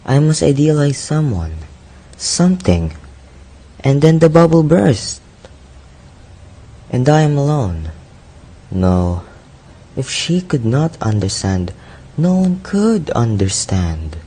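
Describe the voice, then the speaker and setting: Radio drama romantic lead, played by a man: young brooding poet, mellow tone, lofty, melodramatic, slow delivery. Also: medium pitch, very animated, breathy edge, exaggerated voice. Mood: despairing.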